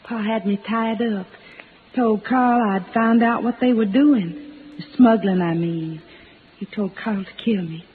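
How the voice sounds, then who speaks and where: A woman's voice: mid-range voice, measured pace, close to an everyday voice. An actress playing a frightened young backwoods woman with plain rustic grammar and a hesitant manner in a 1950s radio drama. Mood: subdued, confessing. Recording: old radio, echoing, faint hiss, narrow band.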